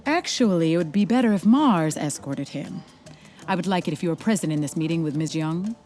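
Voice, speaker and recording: A woman's voice: fairly low pitch, expressive, a little fast, a little mellow, soft background music, close to an everyday voice. An actress playing a stern, formal woman in charge of a rehab center, speaking in precise, authoritative sentences. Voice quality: formal, clipped, authoritative wording. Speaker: facility head. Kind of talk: audio drama acting.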